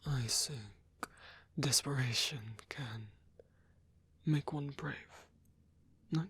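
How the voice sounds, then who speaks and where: Husky, whispering old male vampire, acted by a man. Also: medium pitch, exaggerated voice. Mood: flirtatious, teasing. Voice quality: breathy, husky half-whisper. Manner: slow, halting, softly teasing. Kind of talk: ASMR roleplay.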